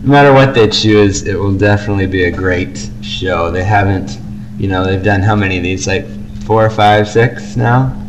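A man's voice: lowish voice, normal speed, noisy background. A young man chatting casually as co-host of a fan podcast, on an amateur home recording.

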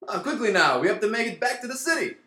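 Earnest, stammering male producer, played by a man for radio play, lightish pitch, echoey room. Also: very animated, quick pace, bright, forceful tone, exaggerated voice. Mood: hesitant, indignant. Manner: hesitant, stammering. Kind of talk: mock old-time radio play.

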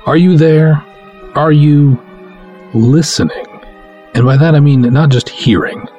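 A man hosting a podcast, addressing the listener directly in a deliberate, emphatic monologue with dramatic pauses. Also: on the high side, fairly even intonation, dark tone, lo-fi sound, soft background music. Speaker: podcast host.

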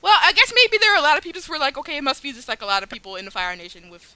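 High-voiced woman podcaster, chatter behind her. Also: very animated, rapid-fire. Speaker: podcast co-host. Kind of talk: casual fan chat about an animated show.

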